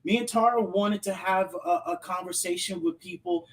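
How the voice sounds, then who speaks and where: A man's voice: high-pitched, steady tone, normal speed. A man co-hosting a live podcast in an emphatic hype style, repeating phrases for effect. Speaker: podcast co-host.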